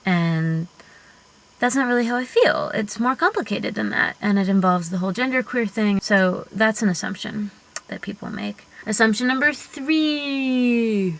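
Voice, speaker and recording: Young female-bodied genderqueer vlogger, high voice, slow earnest pace, reflective and thoughtful, home video-diary delivery. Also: expressive, a bit bright, rough recording. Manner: reflective, earnest, thinking aloud with fillers. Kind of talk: personal vlog monologue.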